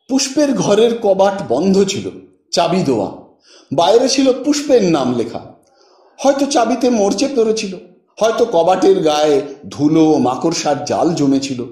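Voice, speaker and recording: A man reading a literary story aloud as an audiobook narrator, with measured, dramatic phrasing and deliberate pauses. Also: high-pitched, a little mellow. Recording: roomy echo, distant from microphone.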